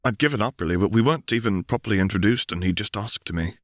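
A man's voice: lowish voice, fast talker, dry recording, studio quality, lightly acted. A man voicing an earnest young male Jedi apprentice in a fan fiction reading, sincere and modest. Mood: sympathetic. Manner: sincere, modest, gently apologetic.

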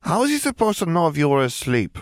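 A man as a cheeky bird-girl sister, high-pitched, chirpy, protesting, storybook character. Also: big pitch swings, fast, no room sound, clean studio sound. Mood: reproachful. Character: cheeky, indignant younger sister, part bird.

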